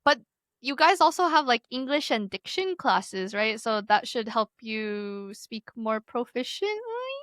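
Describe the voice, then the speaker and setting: Bright-voiced woman hosting an interview. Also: a little high, big pitch swings, no echo, clean studio sound. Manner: curious, quick follow-up questions. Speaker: host. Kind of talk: casual interview.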